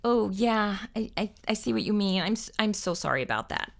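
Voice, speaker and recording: A woman's voice: mid-range voice, lively intonation, brisk, vocal fry. A woman hosting a children's storytime, reading a picture book aloud expressively and putting questions to her young viewers. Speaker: children's storytime host and reader.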